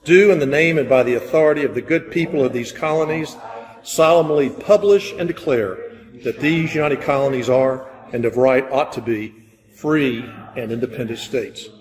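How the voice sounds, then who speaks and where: A man's voice: mid-range voice, normal speed. A man reading a historical document aloud in a solemn, formal style. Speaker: volunteer reader. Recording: long reverberant hall sound.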